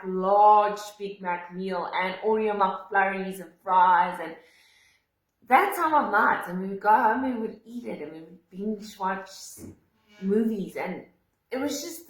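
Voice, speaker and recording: A young woman with a South African accent and Afrikaans as her first language speaks earnestly about something personal, her voice catching as she restarts sentences. She is recorded on a phone in a room with a noticeable echo. Her pitch sits in an ordinary middle range for a woman. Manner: earnest, emotional, with frequent fillers and restarts. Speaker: vlogger. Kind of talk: personal storytelling to camera.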